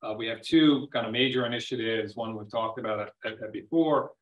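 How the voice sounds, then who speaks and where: A man speaking as a company chairman on a web earnings call, presenting semi-formally off the cuff, haltingly, often repeating and rephrasing his words. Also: mid-range voice. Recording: dull, lo-fi web call audio.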